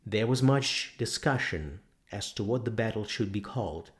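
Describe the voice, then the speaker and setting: A man's voice: fairly low pitch, expressive, normal speed. A man reading a novel aloud as scripted dramatic narration. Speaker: audiobook narrator.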